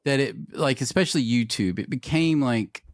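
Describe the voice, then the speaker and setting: A man's voice: medium pitch, animated, moderate pace, dry recording, clean studio sound. A man in his forties co-hosting a podcast, talking in a casual, rambling way with lots of swearing and laughter. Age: 40s. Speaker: podcast co-host. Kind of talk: casual chat.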